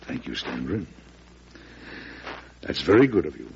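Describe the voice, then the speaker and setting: An actor playing an embattled but calm, dignified president in a classic radio drama. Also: male voice, extremely low pitch, normal speed, gravelly, slight background noise, heavily acted. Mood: grave, resigned. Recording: dull old radio broadcast.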